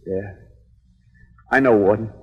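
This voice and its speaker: A man's voice: fairly low pitch, takes it slowly, slightly rough. A man acting a bitter, doomed young crook in an old radio drama, talking intensely in tough-guy slang. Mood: resigned, defiant. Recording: dull vintage radio recording, reverberant.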